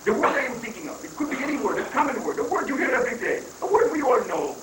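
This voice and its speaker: A man's voice: extremely high pitch, big pitch swings, very fast. A man playing a glib, unruffled guru who hands out mock-wise reassurance in an amateur reading of a stage satire. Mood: probing. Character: guru counselling troubled government officials, unruffled and glib. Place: room at a live library reading. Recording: distant, echoey room recording with faint noise.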